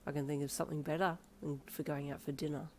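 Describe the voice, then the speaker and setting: A woman voicing a gruff, grumpy young male athlete who answers in low, clipped replies. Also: level tone, fast, darkish tone, slight background noise, lightly acted.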